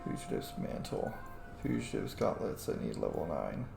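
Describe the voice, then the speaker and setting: Man, casual let's-play commentary, thinking aloud, informal and sweary, gravelly fry, faint music behind. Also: extremely low pitch, wildly swooping pitch, normal speed. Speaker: gaming streamer. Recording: roomy echo, faint game music. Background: game music.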